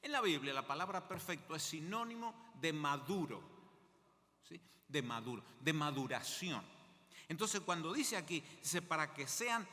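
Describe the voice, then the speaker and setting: Lively older Argentine preacher. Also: male voice, on the high side, measured pace, in a large hall. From Mendoza, Argentina. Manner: conversational, asks the congregation questions. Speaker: guest preacher. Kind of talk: sermon.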